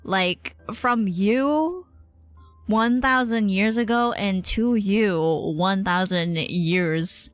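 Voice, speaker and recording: A woman game streamer chatting casually live, thinking out loud, exclaiming and swearing freely. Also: medium pitch, extremely slow, no echo. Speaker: streamer reacting to a role-playing game. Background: game music behind the voice.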